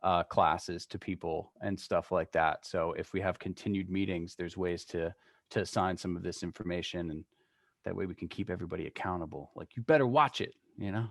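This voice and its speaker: A man's voice: low-pitched, flat delivery, brisk, gravelly, dry recording, studio quality. A man speaking informally and agreeably as he explains things to a team in an online meeting. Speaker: real estate trainer co-leading a meeting.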